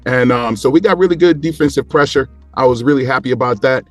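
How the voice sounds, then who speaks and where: A man's voice: medium pitch, fast, soft background music. A man in casual sports talk as a panel guest, rambling along and repeating phrases for emphasis.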